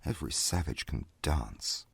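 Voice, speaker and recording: Man acting a very deep, haughty gentleman. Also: animated, a little slow, vocal fry, slightly rough, dry recording, clean studio sound, heavily acted. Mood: cool disdain. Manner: clipped, formal, haughty. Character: proud, aloof young English gentleman, curt and disdainful. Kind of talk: dramatized audiobook acting.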